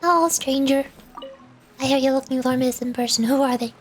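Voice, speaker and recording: A woman's voice: high-pitched, brisk, slight background noise. A woman livestreaming a game, chatting casually in a rambling way and stumbling as she reads dialogue aloud. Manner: rambling, stumbles over words while reading. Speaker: streamer, VTuber.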